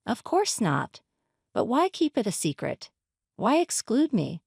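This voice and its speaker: A woman's voice: fairly high pitch, huge pitch swings, unhurried, vocal fry, darkish tone, husky, dry recording, clean studio sound, heavily acted. A woman narrator playing an indignant, hurt wife in a story, confrontational and pleading. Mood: hurt, demanding. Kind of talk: dramatized story reading.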